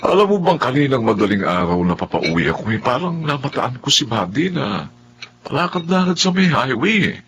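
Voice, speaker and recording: Concerned Filipino husband for family drama: mid-pitched, lively intonation, faint hum behind him. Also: male voice, brisk. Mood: puzzled concern. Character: Ador, concerned, prayerful husband and family elder.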